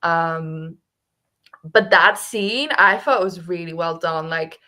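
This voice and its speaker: A young woman talks through a book in a loose, chatty way, peppering everything with "like". Her voice sits a little low but comes out bright and forceful. She is lively and eager as she talks. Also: slow. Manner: chatty, heavy use of "like", animated. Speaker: book club co-host. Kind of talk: casual book discussion.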